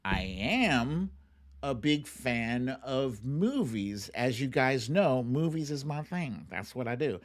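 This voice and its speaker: A man hosting a livestream with casual commentary, speaking in a halting, conversational way with pauses and emphatic stresses. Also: medium pitch, very animated. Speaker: host of a livestream show.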